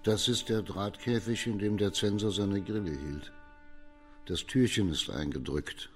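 A man plays a shrewd judge of imperial China in a radio crime drama. His low voice is measured, formal and commanding. Music plays faintly behind him. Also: lightly acted. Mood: matter-of-fact.

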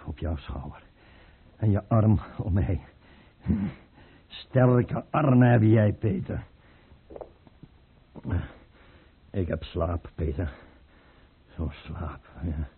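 A scared needy tsar in an old radio play, low husky voice, muffled phone-like sound. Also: male voice, lively intonation, slow pace, slight background noise, heavily acted. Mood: drowsy, clinging. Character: fearful, drowsy, unstable tsar clinging to his confidant. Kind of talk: radio drama acting.

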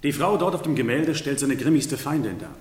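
Man acting a lively, amused courtier in a hall. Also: medium pitch, fairly quick, lightly acted. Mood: knowing, emphatic. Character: amused, reassuring male courtier showing a newcomer the ropes. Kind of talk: 1970s German radio play acting. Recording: reverberant hall, noisy old radio recording.

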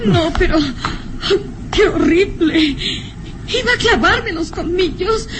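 An actress playing a fragile, shaken young woman in halting, breathless, melodramatic radio serial style. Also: extremely high pitch, music in the background. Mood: tearful, horrified. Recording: noisy old radio recording.

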